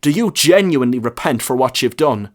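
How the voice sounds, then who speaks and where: A man voicing a world-weary, stern parish priest in a story, with a measured, solemn, admonishing manner. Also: mid-range voice, lively intonation, pitched above the performer's own voice, close to an everyday voice. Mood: harsh anger. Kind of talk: short story character reading.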